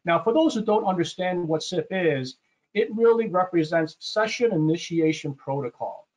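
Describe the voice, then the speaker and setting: A man's voice: fairly high pitch, normal speed, a little mellow. A man hosting a product webinar, explaining slides in an informal, conversational way and often repeating his phrases. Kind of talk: informal slide presentation to an online audience. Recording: dull, muffled webinar audio.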